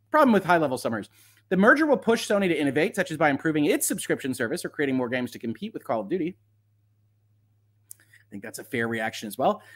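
A man with a slightly high voice hosts an off-the-cuff legal commentary. He reads points aloud and weighs each one analytically, with careful qualifications and formal, lawyerly wording. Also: fairly bright tone. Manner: analytical, measured hedging, lawyerly vocabulary. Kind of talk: live off-the-cuff legal commentary, reading and reacting.

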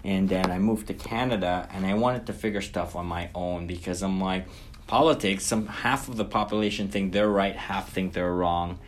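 A man's voice: low-pitched, moderate pace, slight background noise. A man from Guyana, an interview guest telling his story in an earnest, casual way that livens up when he recounts events.

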